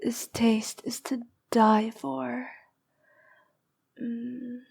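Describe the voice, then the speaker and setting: Slow, breathy vampire princess, acted by a woman. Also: on the high side, flat delivery, full character voice. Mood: sated, soothing. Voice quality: soft, breathy, often dropping to a whisper. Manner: slow, cooing, possessive, coaxing. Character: noble but needy vampire princess, dominant yet tender lover. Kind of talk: intimate ASMR girlfriend roleplay.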